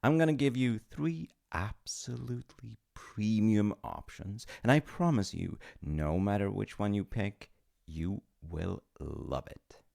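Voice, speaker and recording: A man's voice: fairly low pitch, slow, creaky, a little mellow. A man playing an awkward, chatty demigod of reincarnation in a comedic audio roleplay, stammering and apologetic as he talks to the listener. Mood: mildly indignant, wheedling. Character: flustered, chatty male demigod in charge of reincarnation.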